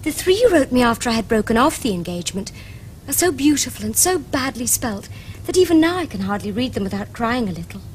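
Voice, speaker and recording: High-voiced, prim English young lady. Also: big pitch swings, fast, a little hiss or hum, rough recording. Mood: brisk, assured. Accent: English Received Pronunciation. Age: young adult. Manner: precise, formal, earnest diction. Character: prim, romantic, self-possessed young Victorian lady. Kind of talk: period stage comedy acting for television.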